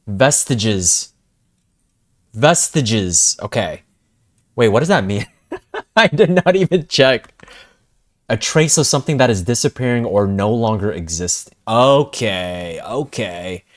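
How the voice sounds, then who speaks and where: A young man reacting live to a video game, casual and slangy with excitable exclamations. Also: lowish voice, slow.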